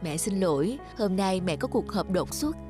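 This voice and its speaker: A busy mother speaks hurriedly and apologetically in a woman's everyday voice. The pitch sits mid-range. Cartoon music plays behind her. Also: animated, normal speed. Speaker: busy working mother figure.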